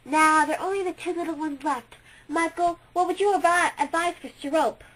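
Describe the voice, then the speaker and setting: A woman voicing Maya, an eager, delighted young star girl who talks in an excited, breathless way. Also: very high voice, normal speed, voice pushed up, low-quality recording.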